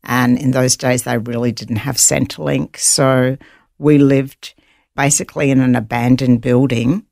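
A woman with a New Zealand accent, a guest telling her life story in an earnest, halting way with many fillers. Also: very deep voice, fairly even intonation, creaky, a little mellow, dry recording, clean studio sound. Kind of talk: personal testimony interview.

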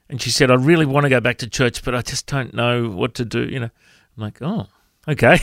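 Australian male pastor, big voice, storytelling radio devotion, slight fry. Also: medium pitch, a bit bright, dry recording, clean studio sound. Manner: storytelling, conversational.

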